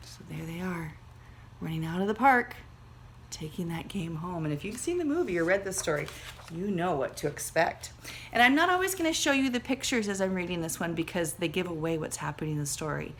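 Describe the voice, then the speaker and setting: Bright-voiced woman teacher reading aloud. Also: fairly low pitch, animated, a little fast, slight background noise. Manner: dramatic, emphatic storytelling. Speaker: second grade teacher. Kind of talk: expressive storybook read-aloud with teaching asides.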